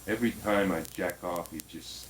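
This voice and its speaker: An actor playing an earnest man in his forties, coaxing and animated as he talks. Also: deep voice, normal speed, a little hiss or hum, natural voice, low-quality recording. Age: 40s.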